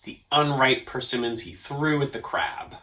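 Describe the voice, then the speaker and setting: A man reading a story aloud as an expressive narrator. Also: medium pitch, a little slow. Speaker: storyteller for a library story program.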